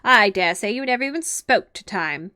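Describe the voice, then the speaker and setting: A woman voicing an excitable, theatrical male hatter with a booming, drawn-out delivery. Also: no echo, studio quality.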